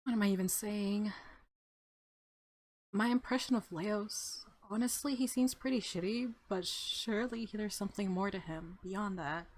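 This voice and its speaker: A woman's voice: mid-range voice, measured pace, a little mellow. A woman narrating a visual novel aloud in the first person, expressively, as a let's player.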